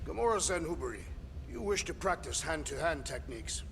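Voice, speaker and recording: An actor playing an earnest, literal-minded alien warrior with formal, stilted diction in a video game. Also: male voice, on the high side, lively intonation, a little fast, vocal fry, fairly bright tone, long reverb tail, a little hiss or hum. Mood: earnest, proud.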